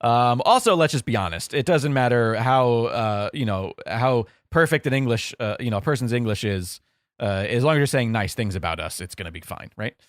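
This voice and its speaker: A man's voice: medium pitch, expressive, no echo. Easygoing male co-host who stumbles through his thoughts, recorded dry and close. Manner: halting, many fillers and restarts, easygoing. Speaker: producer and guest co-host. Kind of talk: casual podcast chat, reading listener emails aloud.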